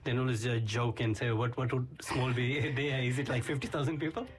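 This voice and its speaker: A middle-aged man hosting a community radio interview in a studio, talking slowly with many filler pauses. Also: mid-range voice, fairly even intonation. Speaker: radio program director and host.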